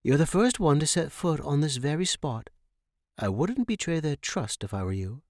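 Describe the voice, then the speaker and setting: A man voicing a self-assured, sardonic woman guide in an audiobook story, brisk and wary of outsiders. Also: fairly high pitch, big pitch swings, a little mellow, no room sound, studio quality, voice pushed up. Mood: stern, sardonic.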